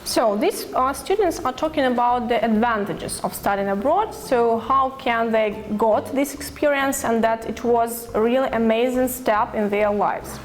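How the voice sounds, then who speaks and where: A woman teacher explains a video lesson in English, pausing often with fillers. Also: a little high, faint music behind. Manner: hesitant, with fillers and grammar slips. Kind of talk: classroom explanation.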